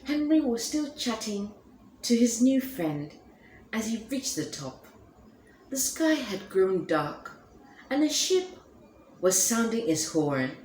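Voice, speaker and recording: A woman reading a children's picture book aloud in an expressive, animated way. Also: fairly high pitch, reverberant, a little hiss or hum, low-quality recording. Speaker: storybook reader.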